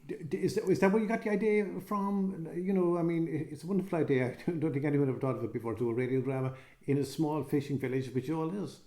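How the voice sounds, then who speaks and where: A man's voice: a little high, fast talker, hardly any pauses, warm tone. A man interviewing casually and hesitantly, stumbling over the starts of his words, in a recording studio.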